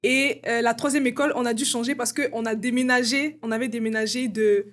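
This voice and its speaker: A woman's voice: on the high side, steady tone, a little fast. A young woman from Congo, a student guest, talking casually in an interview with lots of fillers. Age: young adult. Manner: casual, many fillers like "genre" and "euh".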